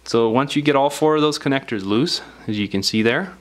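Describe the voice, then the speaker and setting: A man's voice: mid-range voice, a little fast. A man giving casual, step-by-step hands-on instructions in a plain-spoken, informal way. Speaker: repair tutorial host.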